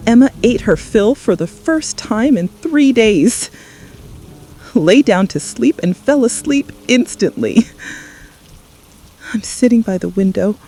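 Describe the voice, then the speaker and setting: A woman playing an exhausted, frightened mother in an apocalypse radio drama, confessing in short, halting phrases. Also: medium pitch. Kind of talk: scripted radio drama monologue. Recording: steady rain noise behind voice. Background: rain ambience.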